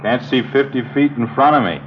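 A man's voice: mid-range voice, a little fast, slight background noise, lightly acted. An actor playing a sour, complaining American henchman in a 1950s radio crime drama, glum and terse. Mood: tense. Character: sour, complaining male henchman with a sore stomach. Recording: dull 1950s radio recording.

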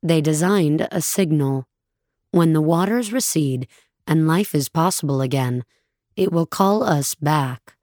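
Woman voicing a cold, low-toned female machine. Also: measured pace, darkish tone, no echo, clean studio sound. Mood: detached. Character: cold, emotionless female machine caretaker on a starship.

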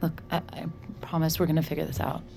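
Low, creaky, weary sardonic woman. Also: little pitch movement, fast talker, loud music behind the voice. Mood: teasing, reassuring. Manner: dry, sardonic, tired. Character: weary, sardonic woman bartender narrating her own troubles. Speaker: narrator and lead character. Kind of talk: audio fiction drama with first-person narration.

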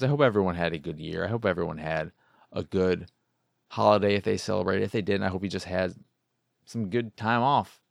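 Tired gravelly guy doing a casual solo podcast, playful rambling, real dry close sound. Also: on the low side, no room sound. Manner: informal, self-deprecating, playful, mild swearing. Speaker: solo video game podcast host.

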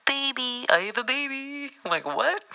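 A woman playing a scatterbrained new-mother actress, halting and rambling through a phone message in an audio comedy. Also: high-pitched, lively intonation, sharp tone, exaggerated voice. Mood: flustered, incredulous.

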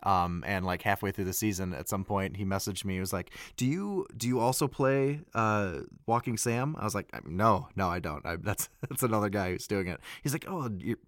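A man's voice: fairly low pitch, normal speed, hardly any pauses, dry recording, studio quality. A man talking casually as a voice actor being interviewed. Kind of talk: casual chat.

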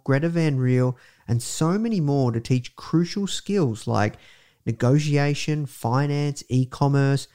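An Australian man hosts a podcast in a croaky, hoarse voice of ordinary pitch. He talks fast and upbeat, in a casual way. His sentences are full of "uh" and "you know". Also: mellow. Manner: upbeat, fast, filler-heavy with many "uh"s. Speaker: podcast host. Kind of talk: casual podcast intro monologue.

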